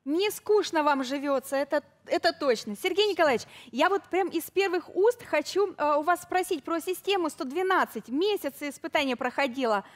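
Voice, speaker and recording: A woman's voice: sits high, normal speed, few pauses. A woman hosting a live morning show interview in an effusive, eager, conversational way. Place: TV studio.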